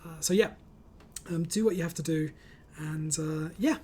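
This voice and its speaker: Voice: medium pitch, moderate pace, darkish tone, slight background noise. A host of a casual online group chat, reading out comments and speaking in an encouraging, reassuring way over a voice call.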